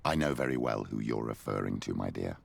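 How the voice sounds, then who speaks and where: Very deep, gravelly stern priest. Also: male voice, lively intonation, brisk, heavily acted. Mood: stern, knowing. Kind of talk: video game voice acting.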